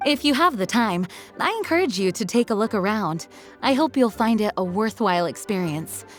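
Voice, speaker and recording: A dutiful young woman knight from a video game, voiced by an actress. She speaks at a middle pitch in a composed, courteous and earnest way. Faint music plays underneath. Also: lively intonation, normal speed, lightly acted. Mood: considerate. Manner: polite, earnest, explanatory.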